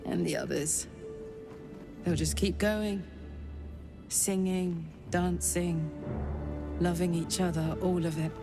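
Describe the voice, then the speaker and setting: A woman's voice: lowish voice, steady tone, slow pace, long pauses. A woman playing a fierce, foul-mouthed, wounded female warrior in a dramatic video game. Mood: bitter grief. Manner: blunt, swearing, emotional. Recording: music behind the voice.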